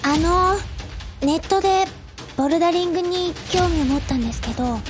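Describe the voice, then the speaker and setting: A woman's voice: extremely high pitch, brisk, faint music behind. A woman playing a timid, curious young newcomer in a voice drama trailer. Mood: tentative.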